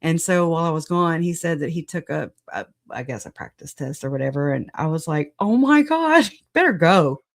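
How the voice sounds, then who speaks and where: A livestream host with a raspy voice, hoarse after a recent illness, chatting casually and laughing a lot at themselves. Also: mid-range voice, expressive, normal speed, few pauses. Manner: laughs often, self-deprecating, chatty. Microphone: computer mic on streaming software.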